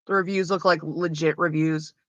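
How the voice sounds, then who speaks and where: A woman's voice: low voice, steady tone, normal speed, a bit bright, no echo, lo-fi sound. A woman with a Canadian accent chatting casually with her livestream viewers, rambling and swearing freely. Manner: rambling and profane, loose with frequent fillers.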